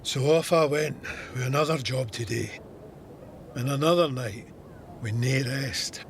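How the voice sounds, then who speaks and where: An actor playing a stoic Scottish First World War soldier, narrating his memoir in a measured, matter-of-fact way. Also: mid-range voice, vocal fry, a little hiss or hum. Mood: wry, weary. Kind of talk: scripted memoir narration in an audio drama.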